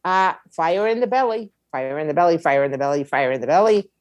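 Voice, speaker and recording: A woman's voice: low-pitched, lively intonation, fairly quick, no echo, highs cut off. A woman giving conversational interview answers as a guest, speaking emphatically, repeating her points and posing rhetorical questions. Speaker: interview guest, music attorney and label owner.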